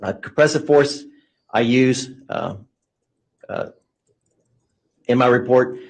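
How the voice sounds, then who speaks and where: A man's voice: mid-range voice, takes it slowly, vocal fry. A man giving expert testimony in a courtroom, hesitant and full of fillers, explaining technical terms carefully. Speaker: expert witness.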